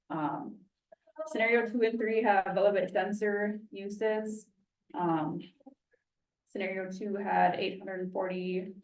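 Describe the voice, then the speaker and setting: A quiet-voiced woman walks a room through a traffic study, pausing on plenty of ums. She stands some way from the mic, and her voice rings around a roomy meeting hall. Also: mid-range voice, far from the mic. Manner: soft-spoken, explaining with frequent ums. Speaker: traffic engineer presenting a study. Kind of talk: public meeting presentation.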